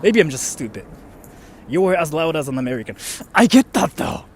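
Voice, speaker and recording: A man's voice: on the high side, sing-song, normal speed, darkish tone, slightly rough. A young man from Japan, a native Japanese speaker, chatting casually on a livestream and reading out viewers' comments. He rambles in a chatty way, with lots of fillers and an emphatic "freaking". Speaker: streamer reading chat comments.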